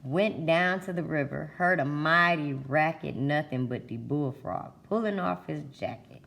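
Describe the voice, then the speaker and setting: Woman as a low-voiced folksy yarn-spinner. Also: a little slow, gravelly, fairly bright tone, exaggerated voice. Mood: folksy, boastful. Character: folksy Southern storyteller spinning tall tales.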